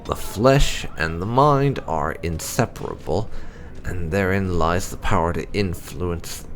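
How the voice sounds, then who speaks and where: A man voicing a coldly sinister, self-assured blood mage scholar, declaiming gravely from a fantasy game text. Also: sits low, measured pace, slight background noise.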